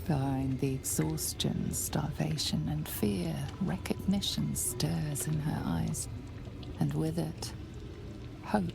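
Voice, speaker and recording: Game narrator: grave, hushed storyteller, deep for a female voice, unhurried. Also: creaky, over music, exaggerated voice. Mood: solemn. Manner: hushed, measured.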